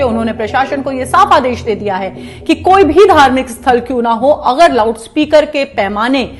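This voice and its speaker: A woman presenting explanatory news to viewers in an emphatic way, often circling back over her points. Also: high voice. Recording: music bed under the voice. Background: background music.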